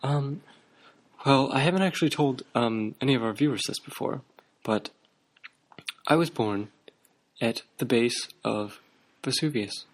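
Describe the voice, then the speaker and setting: A man's voice: mid-range voice, a little slow, pauses often. A young man co-hosting a comedy talk show, speaking in a dry, mock-formal, somewhat hesitant way. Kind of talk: absurdist deadpan comedy chat.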